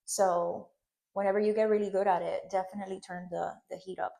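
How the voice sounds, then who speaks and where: A woman's voice: medium pitch, flat delivery, fairly quick, vocal fry, dark tone. A woman in her thirties from Puerto Rico, a Spanish speaker with a Puerto Rican accent, teaching a hairstyling tutorial and checking in often with "okay?". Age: 30s. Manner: instructive, checks in with frequent "okay?". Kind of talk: hands-on tutorial demonstration.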